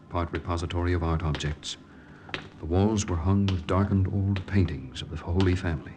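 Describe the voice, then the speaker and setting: An actor playing an earnest young wartime underground lieutenant in 1940s radio drama style, measured and grave. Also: male voice, sits low, natural voice. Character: earnest male wartime underground lieutenant narrating his mission. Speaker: actor and first-person narrator. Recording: vintage 1940s radio recording.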